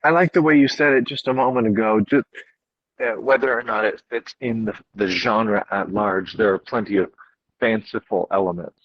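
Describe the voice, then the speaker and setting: A man's voice: fairly low pitch, normal speed. A man hosting a podcast in a casual conversational style, agreeable and affirming toward his guest, on a slightly lo-fi track. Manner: affirming, brief agreeable responses. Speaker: podcast host.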